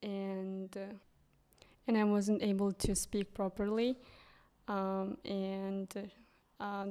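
Woman, young adult, Kyrgyz accent, native Kyrgyz speaker, slow and hesitant, flat intonation. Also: medium pitch. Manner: hesitant, many ums and restarts. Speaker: guest, English teacher. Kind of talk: casual interview.

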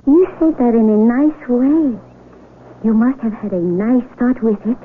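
Give this to a woman playing a sheltered young village girl in a radio drama, earnest and plain-spoken. Her voice sits fairly high. The sound is tinny and narrow, with a little noise behind it. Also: a little slow, natural voice. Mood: gentle, curious. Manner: earnest, simple formal diction. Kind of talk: old-time radio drama acting.

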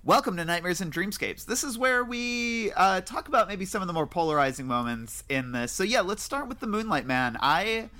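A man's voice: sits high, expressive, normal speed, hardly any pauses, sharp tone. A man hosting a segment of a casual movie discussion, with some noise in the recording. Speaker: podcast co-host, segment host.

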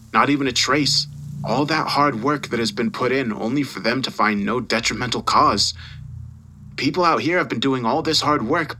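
A man acting as a domineering newspaper boss in an audio drama. He is forceful and condescending, with a bright, hard-edged delivery that mocks and bullies his employee. Faint noise sits behind the voice. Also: mid-range voice, close to an everyday voice. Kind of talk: dramatised audio story dialogue.

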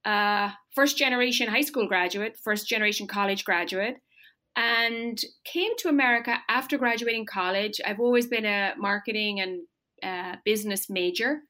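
A middle-aged woman speaks as an interview guest, her voice at an ordinary pitch. She talks in a measured, articulate and polished way. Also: sharp tone. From Ireland. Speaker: marketing executive guest. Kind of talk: podcast interview.

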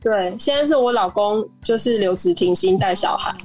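A woman's voice: mid-range voice, moderate pace, music in the background. A woman, the guest's mother, chatting casually and explaining things about her son. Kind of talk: casual chat.

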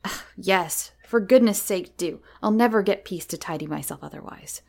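A woman's voice: medium pitch, normal speed, a little mellow. A woman voicing a vain, impatient young mother who scolds and gets cross, in a story reading. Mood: exasperated. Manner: scolding, exasperated, breathy asides.

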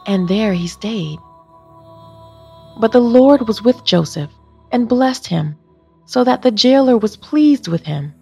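A woman narrating a Bible story as a scripted, expressive reading. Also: on the high side, slow pace, vocal fry, pauses often, husky, muffled recording, soft background music.

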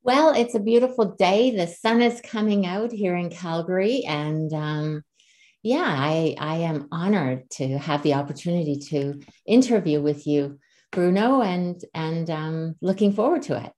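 A woman's voice: lowish voice, measured pace, warm tone. A woman in her sixties, born in the Czech Republic, talking earnestly and reflectively as a guest on an interview podcast, often restarting her sentences. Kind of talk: conversational interview. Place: Calgary, Canada.

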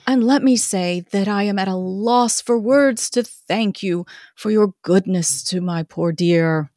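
A woman voicing a grief-stricken, earnest young gentleman in a melodramatic reading of a Victorian gothic novel. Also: medium pitch, no echo, clean studio sound, lightly acted. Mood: earnest, humble. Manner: slow, drawn-out, overwrought melodramatic delivery.

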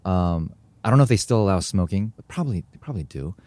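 A man's voice: low voice, fast, dry recording. A young adult man from America, chatting casually as a podcast co-host and telling stories with acted-out sounds and quotes. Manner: animated storyteller, acts out sounds and quotes. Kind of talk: casual chat.

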